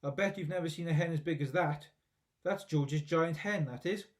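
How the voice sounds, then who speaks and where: A man voicing a loud, scornful, boastful old grandmother in a story. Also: a little high, normal speed, close to an everyday voice. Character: bossy, shouting, cackling old grandmother.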